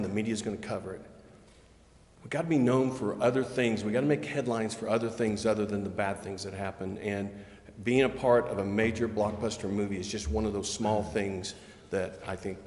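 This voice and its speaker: Man, lowish voice, echoey room, measured explanations, public meeting remarks. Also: fairly even intonation, a little mellow. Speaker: local economic development official. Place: city council chamber. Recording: echoey reverberant meeting chamber.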